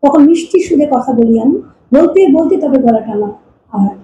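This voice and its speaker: A woman's voice: high voice, expressive, brisk, mellow, muffled recording. A woman from India, telling personal stories informally in fragmented, self-interrupting sentences. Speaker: folk vocal mimicry artist being interviewed. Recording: echoey room with faint noise.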